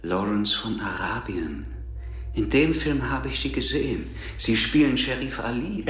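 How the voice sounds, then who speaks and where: An actor playing a composed, defiant older militant leader held captive, speaking in a measured, grave, formal way in a radio drama. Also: male voice, medium pitch, lively intonation, a bit bright. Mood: coolly observant. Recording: roomy sound with music underneath.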